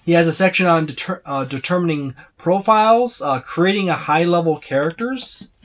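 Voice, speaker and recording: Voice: mid-range voice, lively intonation, moderate pace. Casual, rambling tabletop game reviewer. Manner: rambling, repeats and lists items. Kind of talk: casual unscripted book overview.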